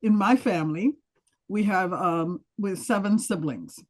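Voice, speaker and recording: A woman's voice: mid-range voice, measured pace. A woman, a prospective client on a video call, speaking hesitantly with many fillers and restarts.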